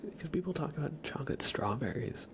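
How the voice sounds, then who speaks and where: A man streaming ASMR, chatting casually to viewers in a soft, breathy whisper with a slightly stuffy nose. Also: a little high, lively intonation, fast, noisy background. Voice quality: breathy whisper with a creaky edge. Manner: soft-spoken, rambling, casual.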